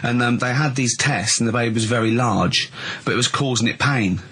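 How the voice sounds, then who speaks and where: Slightly husky man telling stories haltingly. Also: medium pitch, a bit bright. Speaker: radio show co-host. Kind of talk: casual chatty storytelling.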